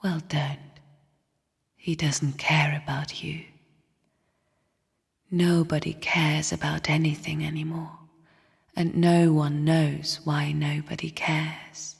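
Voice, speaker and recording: An actress playing a bleak, bitter female spirit in a video game, speaking in a breathy, husky whisper with a hushed, cryptic, scornful manner. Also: deep voice, flat delivery, takes it slowly, pauses often, exaggerated voice. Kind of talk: video game character monologue. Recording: long reverberant hall echo.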